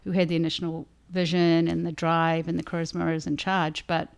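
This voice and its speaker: Low, creaky-voiced woman, even delivery. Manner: thoughtful, halting, conversational. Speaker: author and livestream co-host. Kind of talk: casual livestream chat, answering audience questions.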